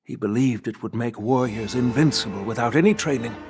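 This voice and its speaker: An actor playing a wise, dignified old master swordsmith in a video game, talking in a measured, courteous, reflective way. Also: male voice, medium pitch, darkish tone, soft background music, lightly acted. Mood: wistful, solemn. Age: older. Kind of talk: dramatic video game voice acting.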